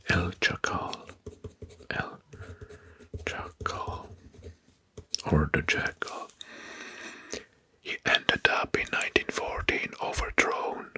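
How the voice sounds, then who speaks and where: A man narrating soft-spoken ASMR in a breathy, husky whisper, deliberately repeating names and phrases. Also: deep voice. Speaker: host of an ASMR history channel.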